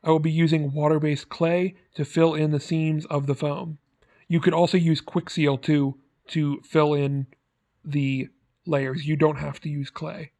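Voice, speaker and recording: Male tutorial host, fairly high voice, very even intonation, plain scripted step-by-step instruction. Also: a little slow. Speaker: host of prop-making tutorial. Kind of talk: scripted how-to narration.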